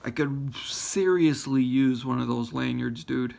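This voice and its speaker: A man raised in Florida chats slowly through a casual show-and-tell, pausing often and restarting his thoughts. His voice has a gravelly, fry-edged texture at a mid pitch. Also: noisy background. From Florida, born in Ecuador. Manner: rambling, many ums and restarts. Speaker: pipe-smoking YouTuber showing his lighters.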